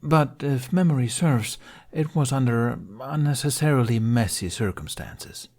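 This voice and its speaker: A man's voice: medium pitch, animated, measured pace, a little mellow, pitched above the performer's own voice. A man voicing a composed, cryptic man in an audiobook, speaking with formal, understated dry politeness. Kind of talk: audiobook character voice.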